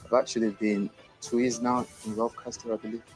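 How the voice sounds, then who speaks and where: A man's voice: medium pitch, flat delivery, a little fast, faint music behind. A young man in his early twenties sincerely describing what the group gave him. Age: young adult. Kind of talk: testimonial interview.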